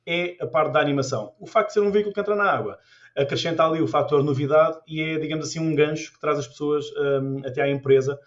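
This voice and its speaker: A Portuguese man talks quickly in a slightly high voice. He tells his stories with energy, restarting phrases as he goes. The sound is a bit dull and lo-fi. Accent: European Portuguese. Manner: animated storyteller with many restarts. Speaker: podcast guest, tour guide. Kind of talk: casual interview chat.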